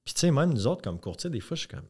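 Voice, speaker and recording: A man with a Québécois French accent hosting a podcast, relaxed and encouraging, chiming in with quick agreements. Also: medium pitch, husky. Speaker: podcast host, real estate broker. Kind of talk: casual interview chat.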